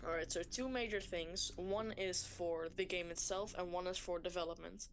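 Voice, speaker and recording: A woman's voice: lowish voice, fairly even intonation, moderate pace. A woman game developer chatting casually, in very informal speech peppered with filler words like "like". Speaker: game developer, guest collaborator. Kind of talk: casual chat. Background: music behind the voice.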